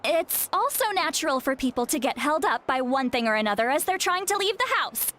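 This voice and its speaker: Game role: youthful-sounding lady elder, sardonic and haughty, highish pitch, very animated delivery. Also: fairly quick. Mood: composed, defensive. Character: teasing, haughty young-looking woman who is secretly an elder. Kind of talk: video game voice acting.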